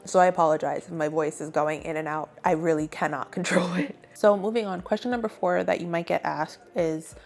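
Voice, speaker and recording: A woman's voice: lowish voice, steady tone, brisk, creaky, hardly any pauses, darkish tone. A young adult woman hosting an advice video, talking casually and conversationally to viewers with emphasis on key points. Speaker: video host, graduate student giving advice.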